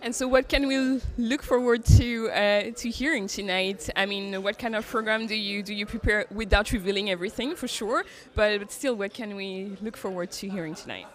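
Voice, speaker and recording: Woman interviewer, French accent, mid-pitched voice, hesitant phrasing. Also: normal speed, few pauses. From French-speaking. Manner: searching for words, many fillers. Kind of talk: interview questions.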